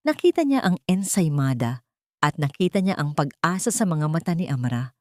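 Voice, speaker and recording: Low-voiced woman narrating a dramatic story. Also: darkish tone, no room sound, clean studio sound.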